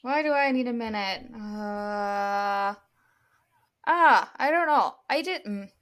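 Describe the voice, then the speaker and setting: A woman in her thirties co-hosting a casual podcast chat, relaxed and rambling as she talks. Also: mid-range voice, takes it slowly, clear, resonant tone. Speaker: podcast co-host.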